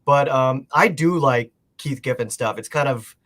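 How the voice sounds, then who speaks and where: A man's voice: mid-range voice, unhurried, fairly bright tone. A man chatting casually as a guest collector, explaining things informally and thinking aloud. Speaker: guest comic art collector.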